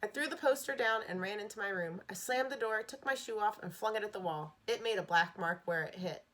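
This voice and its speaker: Bright-voiced woman reading a children's book. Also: mid-range voice, a little fast. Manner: steady, expressive storytelling. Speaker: reader. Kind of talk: reading a children's novel aloud.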